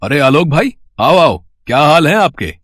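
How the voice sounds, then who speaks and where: Man voicing a smooth, scheming village landowner. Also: a little high, sing-song, normal speed, a bit bright, no room sound, studio quality, pitched below the performer's own voice. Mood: affable, generous. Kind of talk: acted storybook dialogue.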